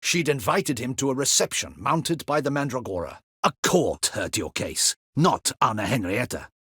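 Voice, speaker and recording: An actor playing a proud, stern captain of a fantasy ducal guard in a video game, speaking formally in clipped, commanding, archaic courtly phrases. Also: male voice, mid-range voice, animated, normal speed, bright, forceful tone, slightly rough. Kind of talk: dramatic video game voice acting.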